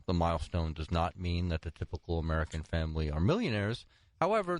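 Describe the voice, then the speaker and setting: A man's voice: low voice, darkish tone, dry recording. A man co-hosting a financial talk show, moving between prepared article summaries and conversational comments. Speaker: co-host presenting financial newsletter articles.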